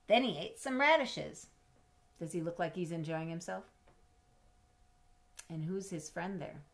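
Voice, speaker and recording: A middle-aged woman with a slightly low voice reads a children's story aloud. She is expressive and teacherly, moving between storybook narration and simple questions or explanations for young listeners. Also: measured pace. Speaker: storyteller reading a picture book. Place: small indoor home room with bookshelves.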